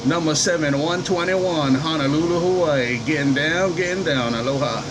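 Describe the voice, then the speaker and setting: A man with a slightly high voice works a CB radio. He repeats call signs and slangy sign-offs as he chats. Loud vehicle and air-conditioning noise runs behind him throughout. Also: normal speed. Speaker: CB radio operator. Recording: very noisy, engine and air-conditioning hum.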